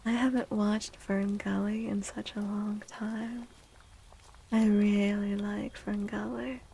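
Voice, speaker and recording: A soft-spoken woman hosting an ASMR livestream, gentle and unhurried, with a breathy, often whispered voice. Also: medium pitch, flat delivery, a little hiss or hum. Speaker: livestream host and tarot reader.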